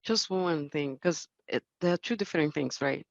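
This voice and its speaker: A woman's voice: low-pitched, normal speed, gravelly, no room sound, lo-fi sound. A Brazilian woman, a native Portuguese speaker, talking casually as a panelist in accented English and searching for words.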